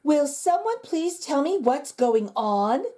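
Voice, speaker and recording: A woman voicing a flustered school leader who speaks in a high, exclaiming teacher tone. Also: sing-song, slow, mellow, heavily acted. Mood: puzzled. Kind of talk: storybook character voice.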